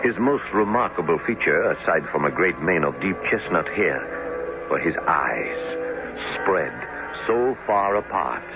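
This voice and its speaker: A man's voice: deep voice, animated, measured pace, music in the background. A man narrating a radio drama in a formal, articulate, dramatic storytelling manner. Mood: grave. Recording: noisy, muffled lo-fi radio recording.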